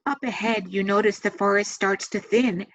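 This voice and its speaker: A woman leading a guided meditation, giving gentle, soothing instructions with long pauses. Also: medium pitch, fairly even intonation. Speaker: meditation guide and massage therapist. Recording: dull, muffled lo-fi sound.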